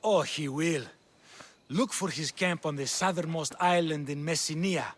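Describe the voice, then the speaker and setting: A man's voice: on the high side, a little slow. An actor playing a polite male wrestling trainer who talks in a courteous, advising way.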